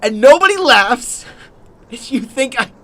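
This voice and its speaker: A man's voice: very high voice, big pitch swings, pushed and bright, full character voice. A man as an anxious, self-pitying failed comedian. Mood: anguished outburst. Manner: halting, wounded, defensive. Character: struggling young comedian husband, anxious, bitter and self-pitying. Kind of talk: radio drama acting.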